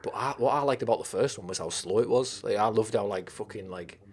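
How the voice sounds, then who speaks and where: A man's voice: on the low side, fast, darkish tone. A man with a Teesside accent hosting a live stream, chatting casually and swearing as he reads and answers viewer comments. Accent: Teesside English. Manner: rambling and sweary, with frequent restarts.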